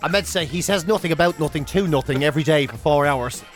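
A man's voice: fairly high pitch, quick pace, soft background music. An Irish man co-hosting comedic radio banter, telling stories in a mock-earnest way. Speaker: radio show co-host. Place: radio studio.

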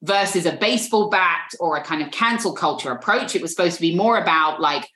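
A woman's voice: on the low side, lively intonation, brisk, fairly bright tone, reverberant. A British woman in an interview, speaking earnestly and often repeating words as she thinks. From Britain.

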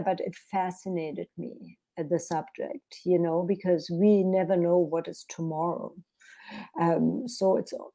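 A woman's voice: fairly low pitch, gravelly, warm tone. A woman from Germany with a German accent, an author talking reflectively in an interview, often searching for words. English is her second language. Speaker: author guest. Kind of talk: interview conversation.

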